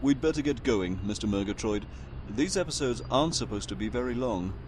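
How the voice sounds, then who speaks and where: An actor playing a composed, dryly wry announcer in a scripted audio comedy. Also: male voice, medium pitch, expressive, brisk, a little mellow, slight background noise, lightly acted. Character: wry, unflappable male radio-show announcer.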